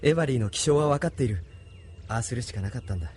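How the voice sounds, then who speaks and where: A man playing an earnest, resolute young space pilot in a Japanese anime audio drama, speaking gravely and sincerely. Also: medium pitch, expressive, racing, a little mellow, a little hiss or hum, close to an everyday voice. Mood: quiet, doubting. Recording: studio drama with background music.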